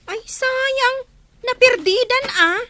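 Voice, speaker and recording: A woman's voice: extremely high pitch, slow, heavy background noise. A woman acting a caring, fussing eldest daughter in an Ilocano radio drama, with an Ilocano accent. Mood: alarmed. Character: fussing, caring eldest daughter visiting her village mother.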